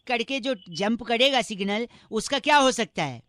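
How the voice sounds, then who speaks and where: A man's voice: very high-pitched, lively intonation, moderate pace, bright, forceful tone, no echo, full character voice. A man voicing a cheeky, pestering young prankster, teasing people on a radio prank call with mock politeness. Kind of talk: comic prank call banter with riddles.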